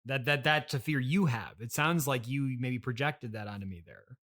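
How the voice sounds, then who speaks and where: A man's voice: medium pitch, moderate pace, fairly bright tone. A man hosting an improvised comedy conversation, speaking in an awkward, hesitant but earnestly reasoning way. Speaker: podcast host playing himself.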